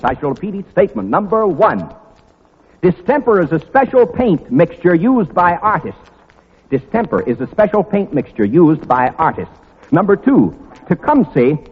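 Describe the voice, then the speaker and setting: A high-voiced American man plays formal quizmaster on 1940s radio. His delivery swings widely in pitch while staying precise. It comes through muffled, with long theater reverb. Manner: crisp formal showman, repeats and spells out items precisely. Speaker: quiz show host. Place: large theater before an audience.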